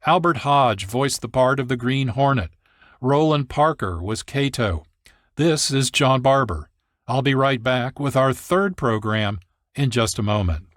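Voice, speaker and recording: A man hosting a radio programme, reading scripted narration in a measured, formal way. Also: mid-range voice, fairly bright tone, dry recording, clean studio sound. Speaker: radio show host.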